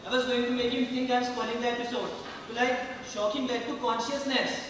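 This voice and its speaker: A man acting in an amateur stage play as an earnest teenage friend, speaking haltingly and deliberately with pauses. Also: very high-pitched, level tone, noisy background. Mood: defensive. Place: large indoor theater hall stage. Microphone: distant camera or room microphone. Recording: echoey hall from far off.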